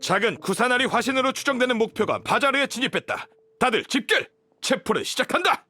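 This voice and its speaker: An urgent guard barks orders in a very high, forceful, raspy voice. It is game dialogue with music behind. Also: male voice, lively intonation, fairly quick, dry recording, heavily acted. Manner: shouting orders. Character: urgent, barking guard calling the alarm.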